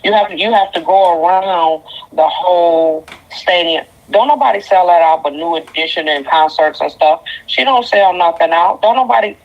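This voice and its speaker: A woman's voice: on the low side, moderate pace. A woman in her fifties from Detroit, calling into a talk show with a Detroit accent, scolding and emphatic. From Detroit, USA. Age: 50s. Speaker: caller. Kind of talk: call-in radio talk. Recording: tinny phone line.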